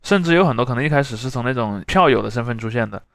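A man's voice: medium pitch, animated, racing, creaky, no room sound, clean studio sound. A man hosting a podcast, explaining things conversationally and discursively, often checking for agreement. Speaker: podcast host.

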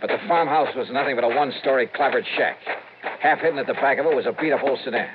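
An actor playing an impatient, brusque, hard-boiled insurance investigator in a 1950s radio detective drama. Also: male voice, mid-range voice, fast, close to an everyday voice. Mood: wry, narrating. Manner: brusque, insistent, wry when narrating. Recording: tinny, very noisy 1950s radio recording.

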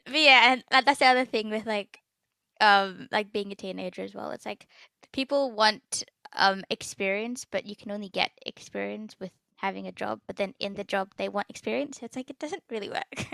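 A woman's voice: mid-range voice, moderate pace, vocal fry, pushed and bright, no room sound. A teenage girl hosting a podcast in casual chat, who stutters now and then and fills her talk with ums and giggles. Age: teens. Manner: stutters, many fillers and giggles. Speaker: podcast host.

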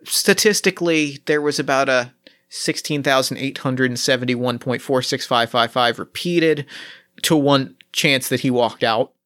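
A man voicing a male android test pilot who speaks in a halting, measured and oddly formal way. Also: mid-range voice, fairly bright tone, lightly acted. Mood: matter-of-fact.

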